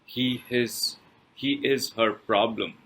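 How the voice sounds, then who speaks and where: A man reading a scripted film review as presenter, with measured, halting, deliberate phrasing. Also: mid-range voice, fairly even intonation, fairly bright tone, slight background noise. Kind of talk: scripted read-aloud review with pauses.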